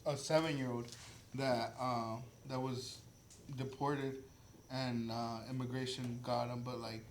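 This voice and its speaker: A man's voice: medium pitch, flat delivery, unhurried. Echoey young man in casual podcast chat. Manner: informal, swearing, hesitant phrasing. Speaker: podcast host. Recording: echoey room, faint background noise.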